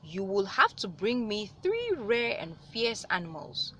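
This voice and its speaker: A woman's voice: mid-range voice, wildly swooping pitch, unhurried, a bit bright, slight background noise. A woman playing a haughty, mocking male sky god in a storybook, speaking in a grand, dismissive way.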